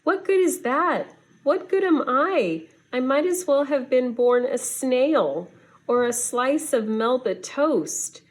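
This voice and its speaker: A woman's voice: high voice, animated, slow, voice pushed up, heavily acted. A woman voicing a wistful, earnest male penguin who cannot fly, in a children's storybook. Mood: grumbling, dejected.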